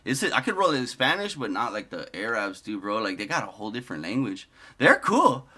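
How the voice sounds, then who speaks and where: A man's voice: mid-range voice, sing-song, fairly quick, pushed and bright. A man giving loose, profane comedic commentary over clips, wandering off-topic and laughing at his own jokes. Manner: profane, digressive, laughs often. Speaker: commentary host.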